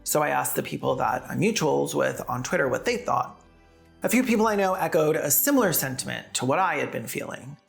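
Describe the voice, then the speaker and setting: A woman delivers scripted commentary in a low, creaky voice. She is sardonic and slips into casual asides, with faint music underneath. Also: lively intonation, brisk. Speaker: video essayist and host.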